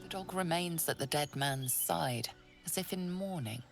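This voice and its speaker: An actress narrating a fantasy game in a measured, solemn, suspenseful storytelling manner, with breathy phrase endings. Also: female voice, deep voice. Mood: solemn, hushed. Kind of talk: dramatic scripted second-person narration. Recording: studio voice over background music.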